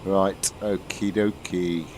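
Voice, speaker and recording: A man's voice: low voice, flat delivery, takes it slowly, a little mellow, a little hiss or hum. Chatty British man streaming a flight sim. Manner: chatty, answers viewers, frequent restarts. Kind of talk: live-stream commentary to chat.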